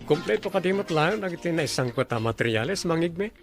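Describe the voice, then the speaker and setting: A man's voice: a little high, animated, a little fast, creaky, music in the background. An actor playing an impatient, bossy male resort owner in an Ilocano radio drama.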